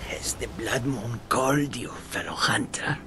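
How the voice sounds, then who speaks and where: An actor playing a grim, devout dying hunter in a fantasy video game, breathy and half-whispered. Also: male voice, medium pitch, unhurried, close to an everyday voice. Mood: weary, ominous.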